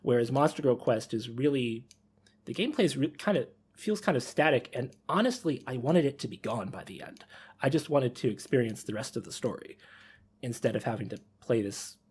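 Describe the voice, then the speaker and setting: A man's voice: medium pitch, expressive, brisk, darkish tone, husky. A man hosting a casual podcast discussion, rambling and rephrasing as he thinks aloud. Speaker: podcast host.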